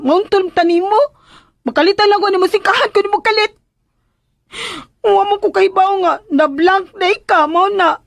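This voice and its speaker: An actress playing a cheeky, pleading young caretaker in a radio drama, blurting things out in hesitant, chatty back-talk. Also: female voice, very high voice, moderate pace, creaky, no echo. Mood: apologetic pleading.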